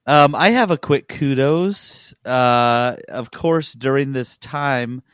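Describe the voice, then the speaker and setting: A man hosts a casual podcast with enthusiastic, emphatic delivery. His voice sits at an average pitch, and the recording is dry with very little room sound. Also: takes it slowly, fairly bright tone, smooth, dry recording. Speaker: podcast host. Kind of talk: casual chat.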